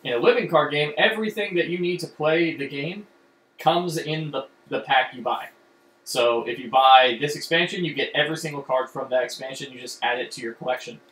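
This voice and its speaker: A man's voice: medium pitch, a little fast, a bit bright. A man streaming from home, casually explaining a card game and rambling with many fillers and restarts. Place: room at home. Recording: echoey room with faint background hum.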